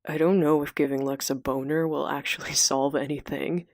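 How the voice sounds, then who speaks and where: Woman voicing a sheepish low-voiced teenage boy. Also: flat delivery, normal speed, no echo, studio quality. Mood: sheepish doubt. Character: teenage boy, modest, embarrassed, doubtful high schooler. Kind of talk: audiobook character acting.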